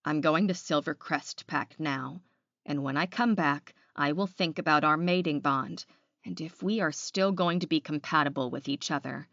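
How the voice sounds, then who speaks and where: Woman voicing a stern, low-voiced werewolf man. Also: normal speed, a bit bright, no room sound, dull audio. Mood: cold, stern. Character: cold, rejecting male werewolf mate, stern.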